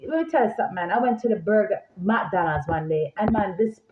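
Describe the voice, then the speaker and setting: A woman with a Jamaican accent, rambling in a casual vlog, animated and repeating herself as she talks straight to her viewers. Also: mid-range voice, fast talker, background noise. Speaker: vlogger. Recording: echoey room, phone-like sound.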